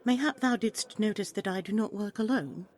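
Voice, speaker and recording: A woman's voice: mid-range voice, normal speed, darkish tone, close to an everyday voice. A woman voicing a bold, wily tavern seductress in an audio play, coaxing in formal archaic English. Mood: sly, coaxing.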